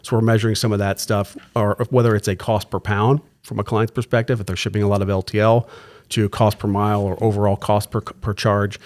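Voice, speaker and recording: Male guest, rapid explainer, lowish register, talks in looping restarts. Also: flat delivery, a little mellow. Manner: fast, with restarts and tag questions. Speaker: client services executive, guest. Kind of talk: explanatory business talk.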